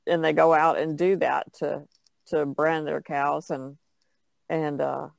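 Female artist guest, creaky voice, dry close recording, rambling casual talk. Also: low-pitched, flat delivery, slow pace, dry recording. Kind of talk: casual chat.

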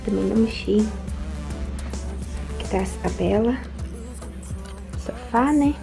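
A woman's voice: fairly high pitch, slow pace, gravelly, dark tone. Chatty young woman vlogging her home. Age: young adult. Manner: chatty, addresses viewers directly. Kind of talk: casual home tour vlog. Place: house and yard, in and out of doors. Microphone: phone held at arm's length. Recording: music playing underneath.

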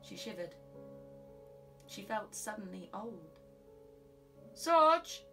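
A woman's voice: on the low side, a little slow, recorded from a distance, over music. A young British woman reading scripted literary narration of a crime novel as an audiobook narrator in a small recording booth. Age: young adult. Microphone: studio condenser with pop filter, side-on.

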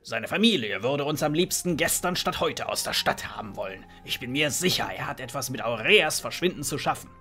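A man's voice: medium pitch, lively intonation, fairly quick, hardly any pauses, sharp tone. A man playing an agitated, stubborn dwarf father in a fantasy audio drama, urgent and questioning. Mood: suspicious.

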